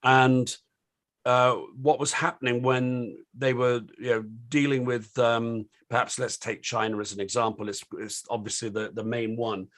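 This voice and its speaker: A British man, slightly croaky, hosting an online panel discussion and talking in a hesitant, rambling way full of ums and restarts. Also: mid-range voice, level tone, moderate pace, fairly bright tone.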